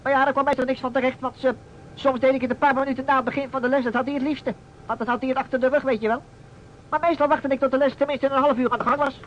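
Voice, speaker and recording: A man's voice: very high voice, flat delivery, fast. A man voicing a cheeky, sullen teenage schoolboy with a nasal, insolent delivery in an old radio play. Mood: smug. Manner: slangy, insolent. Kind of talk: vintage Dutch radio play acting. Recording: dull, noisy old radio recording.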